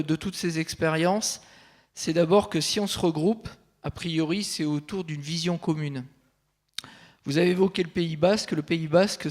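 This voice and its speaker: High-voiced man consultant at a panel. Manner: measured, thinking aloud with frequent fillers and restarts. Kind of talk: panel talk at a conference.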